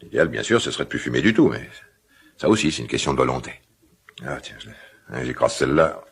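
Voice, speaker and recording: An actor playing a middle-aged father in a radio drama, guilt-ridden and awkwardly tender, speaking hesitantly in a gravelly smoker's voice. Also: rumbling low, very animated, heavily acted. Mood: sheepish. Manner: hesitant, halting, confiding. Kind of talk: naturalistic French radio drama dialogue.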